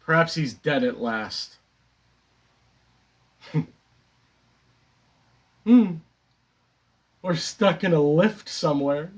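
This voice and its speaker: A man performing a stage comedy monologue as a neurotic, resentful theatre critic, declaiming dramatically and deliberately. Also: on the high side, a bit bright, heavily acted. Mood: darkly gleeful. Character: neurotic male theatre critic consumed by second-string resentment.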